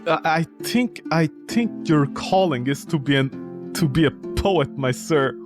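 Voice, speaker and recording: A man doing casual, animated commentary on a game, reacting with mocking disbelief and reading bits aloud. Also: fairly high pitch, unhurried, music in the background. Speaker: gaming video host.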